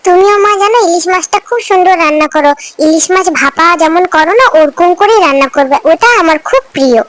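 A woman acts an eager little village girl in a children's cartoon. Her voice is very high and childlike, chattering and coaxing, with a dry close sound. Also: quick pace, dry recording. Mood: excited, chatty.

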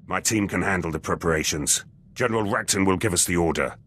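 An actor playing a blunt, battle-hungry special forces soldier in a video game, gruff and husky, speaking in clipped, terse sentences. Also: male voice, deep voice, quick pace, fairly bright tone. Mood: eager, determined. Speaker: soldier companion character. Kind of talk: video game dialogue acting. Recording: music under the voice.

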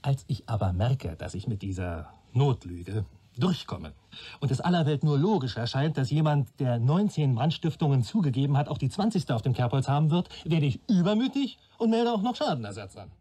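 An actor playing a keen young police trainee in a German radio play, arguing in a wry, sardonic way. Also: male voice, medium pitch, big pitch swings, moderate pace, mellow, husky. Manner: wry, argumentative.

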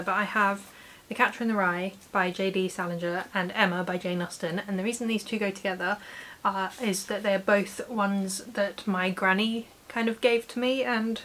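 A woman's voice: mid-range voice, fairly even intonation, moderate pace, a bit bright. A woman in her late twenties with a British accent, chatting casually and informally to camera as a book vlogger in a room at home. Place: small home room with bookshelves. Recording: clear background hiss.

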